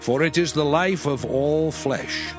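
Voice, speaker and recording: A man narrating scripture in a solemn, expressive scripted reading. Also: a little high, takes it slowly. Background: music.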